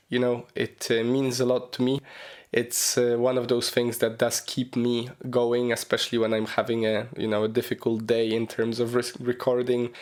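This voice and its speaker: A man hosting a solo podcast, his voice raspy and hoarse from a cold, talking loosely with lots of ums and you-knows. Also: mid-range voice, darkish tone. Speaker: podcast host. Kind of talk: casual solo podcast monologue.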